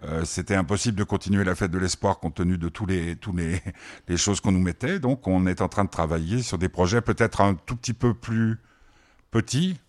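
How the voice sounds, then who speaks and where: A man in his sixties hosting a casual interview, teasing his guest and pausing often with fillers. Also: deep voice. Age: 60s. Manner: teasing, joking, hesitant with many fillers. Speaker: host.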